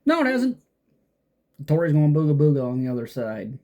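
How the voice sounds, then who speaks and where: A woman's voice: low voice, sing-song, normal speed, dark tone, muffled recording. A woman giving casual, sarcastic, sweary commentary as she reacts to a game. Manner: sweary, sarcastic, muttering asides.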